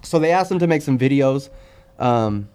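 A man talking casually as a podcast guest, in informal slang, often halting and repeating his words as he explains things. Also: medium pitch, expressive, a little mellow, clear, resonant tone. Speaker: podcast guest, sneaker customizer. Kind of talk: casual interview chat.

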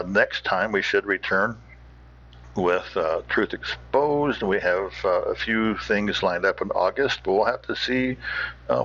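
A man's voice: on the low side, fairly bright tone, slightly rough, dull audio. A man hosting a podcast, talking casually in a hesitant, meandering way. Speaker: podcast host. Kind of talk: casual rambling sign-off talk.

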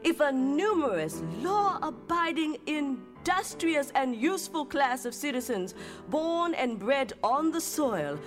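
An actress playing an earnest 19th-century petitioner for freedom in a theatrical reading, speaking in measured, declamatory phrases with weighty pauses. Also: female voice, high-pitched, animated, over music. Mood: solemn, fervent. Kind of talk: theatrical dramatic reading of historical documents.

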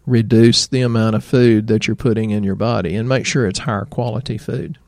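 A middle-aged man with a Southern American accent, a doctor chatting in a folksy, rambling way about health, his voice slightly hoarse. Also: lowish voice, fairly even intonation, brisk, a little mellow, no room sound, clean studio sound. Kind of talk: health talk show chat.

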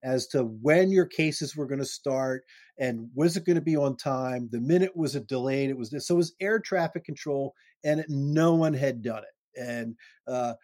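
A man's voice: mid-range voice, a little mellow, no echo. Man hosting a casual interview, dry sound. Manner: loose, restarting, upbeat with quick laughs. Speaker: podcast host.

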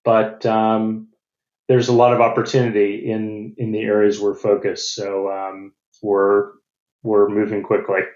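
A middle-aged man with an American accent explains things in a measured, businesslike way, often restarting his phrases. His voice sits on the low side. The recording is roomy and a little dull. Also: monotone, darkish tone. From United States. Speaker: venture capital partner. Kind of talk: interview answers.

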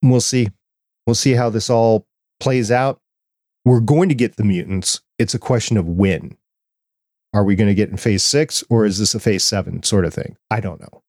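Man, low voice, dry close sound, casual podcast co-host, conversational. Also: moderate pace, darkish tone, no echo. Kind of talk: casual fan discussion.

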